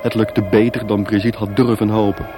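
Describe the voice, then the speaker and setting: A man's voice: fairly low pitch, level tone, a little fast. A man narrating a radio play as scripted, dramatic storytelling. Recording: music bed behind. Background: music.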